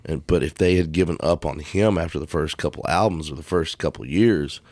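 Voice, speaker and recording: A man co-hosting a casual podcast, agreeable and quick to affirm, asking follow-up questions. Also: very deep voice, a little mellow, no echo. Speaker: podcast co-host.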